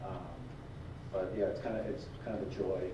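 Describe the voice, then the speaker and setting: A middle-aged man reflecting in halting phrases as a documentary maker on a discussion panel. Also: low voice, level tone. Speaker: documentary crew member on a panel. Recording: muffled, echoey room, distant mic, noisy.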